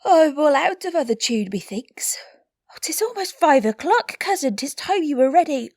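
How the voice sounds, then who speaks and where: An actress playing a sharp-witted young noblewoman who is miserable and stuffed up with a cold, in a Shakespeare radio play. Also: high voice, big pitch swings, normal speed, no echo, clean studio sound. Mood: miserable, testy. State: miserable, stuffed up, breathy sighs.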